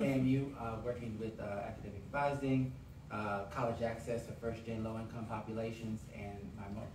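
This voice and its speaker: A man in his forties from Miami, introducing himself as a candidate in a friendly, hesitant way with many uhs. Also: mid-range voice. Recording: echoey hall, far from mic, noisy.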